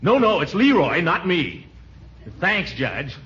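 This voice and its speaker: An actor playing a self-important, easily indignant middle-aged uncle and town official in 1940s radio comedy style, pompous and sputtering. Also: male voice, high voice, wildly swooping pitch, takes it slowly, a bit bright, heavily acted. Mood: pleading, flustered. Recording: muffled, hissy 1940s radio broadcast.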